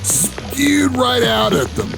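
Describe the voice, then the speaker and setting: Man playing a gravelly, cocky ex-wrestler investigator. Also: extremely high pitch, huge pitch swings, takes it slowly, heavily acted, rough recording. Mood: gritty, boastful. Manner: gruff, cocky, plain-spoken bravado. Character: brash, hot-tempered ex-wrestler turned investigative radio show host. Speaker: show host and investigator. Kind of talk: audio drama narration and dialogue. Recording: music bed under the voice.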